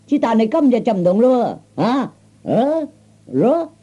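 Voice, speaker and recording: A man's voice: extremely high pitch, big pitch swings, unhurried, warm tone, exaggerated voice. A man playing a cocky, blustering young street tough with a Cantonese accent, in old radio comedy style. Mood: threatening. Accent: Cantonese, Hong Kong or Guangdong. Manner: blustering, taunting, stammering interjections. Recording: dull old 1960s comedy record.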